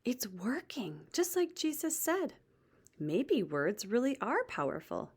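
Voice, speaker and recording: A woman voicing an eager but easily discouraged boy in a children's storybook, in an expressive, exclamatory way. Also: fairly high pitch, slow, slightly rough, pitched above the performer's own voice, exaggerated voice. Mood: excited.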